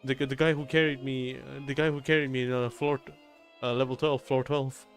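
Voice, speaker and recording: A man's voice: medium pitch, level tone, a little fast, faint music behind. A man streaming a game, reacting casually in a sweary, exclamatory way.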